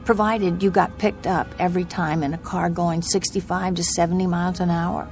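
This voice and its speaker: Actress doing anxious young woman in a radio play, haunted and earnest, bit of fry in the voice, music underneath. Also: fairly low pitch, steady tone, moderate pace, a little mellow, close to an everyday voice. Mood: probing, uneasy. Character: desperate, haunted young woman driving cross-country alone. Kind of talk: radio drama acting.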